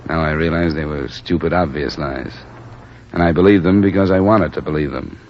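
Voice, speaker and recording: Hard-boiled investigator, very deep voice, clipped and stern, hissy muffled old radio sound. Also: male voice, normal speed. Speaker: insurance investigator, narrator. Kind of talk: 1940s radio acting and narration.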